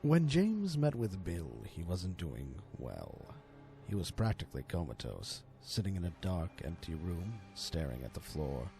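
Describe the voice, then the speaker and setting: A man narrating a scripted horror story in a theatrical manner, with deliberate dramatic pauses. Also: sits low, very animated. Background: music under the voice.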